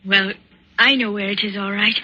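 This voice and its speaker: A woman's voice: mid-range voice, steady tone, moderate pace, sharp tone, breathy edge, dull audio. An actress playing a frightened but plucky young Irish woman in a 1940s radio suspense drama. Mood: shaken, tart.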